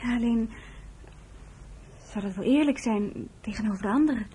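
A woman's voice: fairly high pitch, normal speed, mellow, natural voice. A woman acting an eager, chatty young nursing trainee in a Dutch radio play, on a noisy old recording.

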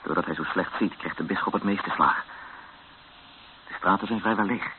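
An actor playing a grave captive who narrates his ordeal in a radio drama in a measured way. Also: sits low. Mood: grave, tense. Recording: muffled lo-fi radio recording with hiss.

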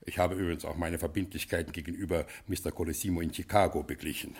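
An actor playing a reformed American gangster turned gentleman, giving grand, commanding orders in a 1950s German radio drama. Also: low voice, steady tone, fast talker, husky. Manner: commanding, grandiose, brooks no objection. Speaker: castle owner giving orders.

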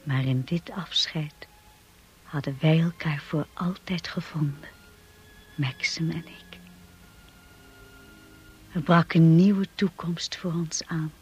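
An actress playing a devoted, wistful young wife in a radio drama, speaking in a measured and solemn way. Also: female voice, low-pitched, steady tone, breathy edge, dull audio, exaggerated voice. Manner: slow, measured, solemn delivery. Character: Mrs de Winter, devoted young wife looking back wistfully. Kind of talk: radio drama acting and narration.

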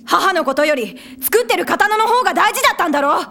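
A woman voicing a defiant, hot-headed teenage boy hero in an anime drama, with brash, rough boyish speech. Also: very high-pitched, lively intonation, a little fast, sharp tone, a little hiss or hum, exaggerated voice. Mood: defiant, angry. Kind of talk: anime voice acting.

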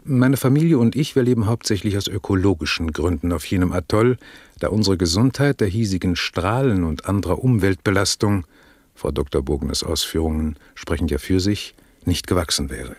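An actor playing a pompous, self-satisfied drug tycoon being interviewed in a satirical radio play, speaking in a stilted, haughty, formal way. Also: male voice, low voice, expressive, fairly quick, darkish tone, breathy edge, no room sound, clean studio sound, heavily acted.

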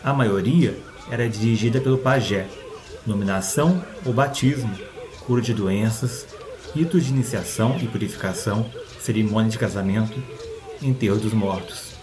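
A man with a Brazilian accent reading a scripted story as its narrator. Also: medium pitch.

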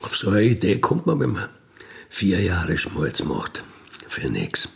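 A man with a gravelly voice, recounting in a blunt, matter-of-fact, colloquial way with emphatic tag questions. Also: low-pitched, wildly swooping pitch, a little slow. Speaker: ex-convict telling his experiences. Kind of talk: colloquial spoken monologue, recounting.

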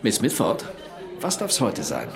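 An actor playing a courteous, deferential waiter in an audio drama. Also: male voice, lowish voice, wildly swooping pitch, measured pace, gravelly, exaggerated voice. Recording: restaurant crowd murmur behind.

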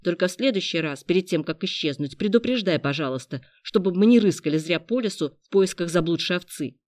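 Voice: mid-range voice, animated, brisk, darkish tone, dry recording, studio quality, close to an everyday voice. A reader doing a blunt bossy young man. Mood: scolding. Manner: firm, scolding.

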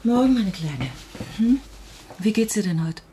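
An actress playing a caring, worried mother in a radio play, gently coaxing. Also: medium pitch, expressive, a little slow, a little hiss or hum, close to an everyday voice. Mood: gentle, concerned.

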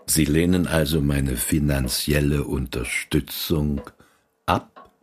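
An actor playing a cool, calculating vicar-general of the church, in a radio play. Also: male voice, rumbling low, fairly even intonation, slow pace.

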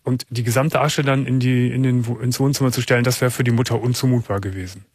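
A man hosts a late-night radio call-in show and asks calm, probing questions. His voice sits in a middle register with a slightly husky grain. The studio sound is close and dry. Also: mid-range voice, fast, no echo. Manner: calm, probing questions with frequent soft "mhm"s. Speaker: radio talk show host.

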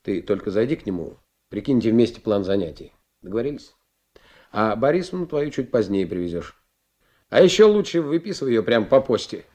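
An actor playing a benevolent, persuasive middle-aged senior naval officer in a radio play, talking in a measured, encouraging way with deliberate pauses. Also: male voice, lowish voice, sing-song, darkish tone. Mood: warm, approving.

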